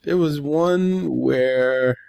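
A man in casual podcast chat, talking in a halting, thoughtful way. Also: on the high side, gravelly, darkish tone, clear, resonant tone, no room sound. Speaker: podcast panelist.

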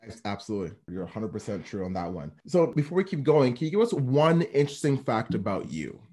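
A man's voice: mid-range voice, big pitch swings, quick pace, dark tone. A man hosting an interview, encouraging and effusive, often repeating words as he responds. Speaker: interview host. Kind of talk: casual interview talk.

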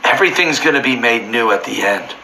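A slightly hoarse man teaching from the Bible informally, fervent and building to near-shouting emphasis. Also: mid-range voice, lively intonation, fairly quick, heavy background noise.

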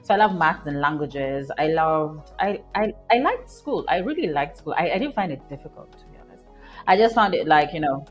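A woman from Kenya speaks as a guest on a podcast. Her voice sits low, and she answers in a warm, rambling way, often pausing on fillers. Faint music plays softly behind her. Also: lively intonation, lo-fi sound. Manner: warm-hearted, rambling with fillers and soft laughs. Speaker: guest scientist. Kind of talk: casual interview answers.

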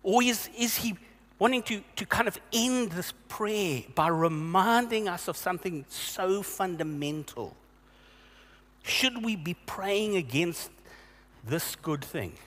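A man preaching slowly and deliberately, his pitch rising and falling with lively emphasis. His voice sits a little high for a man. Long reverb trails each phrase, as in a large church hall. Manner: earnest, questioning, with emphatic repeats and restarts. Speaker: pastor. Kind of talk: sermon.

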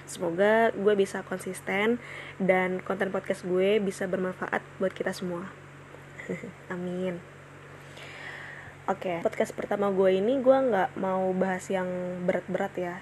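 A woman's voice: mid-range voice, fairly even intonation, unhurried, long pauses, a little mellow, slight background noise, poor audio. A woman in her twenties hosting her own casual solo podcast, chatting in slang with many fillers and restarts. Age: 20s. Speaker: podcast host.

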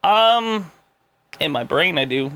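A man's voice: a little high, animated, slow pace, bright, forceful tone. A man co-hosting a podcast, chatting casually and playfully, laughing often. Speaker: podcast co-host. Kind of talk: casual comedic chat.

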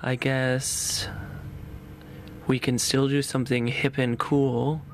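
A man's voice: medium pitch, flat delivery, slow, a little hiss or hum. A man hosting a podcast in casual chat, with jokey, playful asides. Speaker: podcast host.

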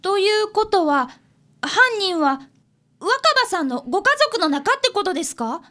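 Woman as a bright, high-voiced earnest young boy. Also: sing-song, unhurried, dry recording, heavily acted. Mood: polite, flustered. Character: polite earnest young boy, fifth-grade detective apprentice. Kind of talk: radio drama acting and narration.

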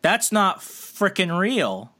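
A man's voice: high voice, big pitch swings, bright, forceful tone. A man co-hosting a podcast in casual chat, blunt and joking as he trades quips with his co-host. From Puerto Rican family. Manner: blunt, joking, quick to banter.

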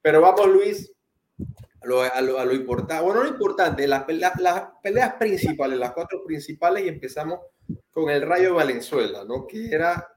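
A man's voice: on the high side, animated, normal speed, reverberant, a little hiss or hum. A man from Panama hosting a casual boxing chat, talking in a rambling way with frequent restarts. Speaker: boxing podcast host.

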